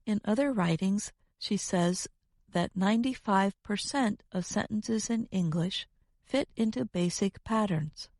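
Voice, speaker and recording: A woman's voice: mid-range voice, measured pace, darkish tone, no echo, studio quality. A woman co-hosting an educational podcast, reading a scripted lesson in a careful, instructional manner. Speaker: co-host of an English lesson podcast.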